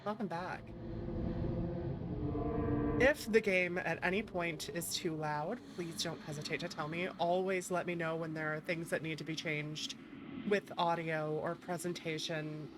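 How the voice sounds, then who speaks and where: A woman's voice: fairly low pitch, steady tone, unhurried, noisy background. A woman hosting a livestream in a casual, chatty and playful way. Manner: playful, chatty, thanks and greets viewers. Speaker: livestream host, VTuber.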